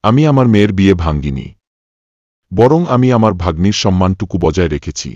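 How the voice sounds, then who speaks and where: A man's voice: low voice, animated, a little fast, a little mellow, no echo, dull audio. A man voicing a firm, reproving middle-aged uncle who protects his family in a cartoon folk tale. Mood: indignant, firm. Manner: stern, reproving. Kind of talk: animated moral-story cartoon dubbing.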